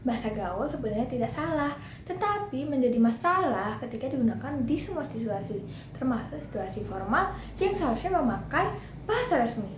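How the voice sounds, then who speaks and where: A woman's voice: high-pitched, big pitch swings, a little fast. A young woman presenting a class project, reading through lists of points with small slips and restarts. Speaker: student presenter. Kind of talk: class presentation explaining a topic. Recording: echoey room with clear background noise.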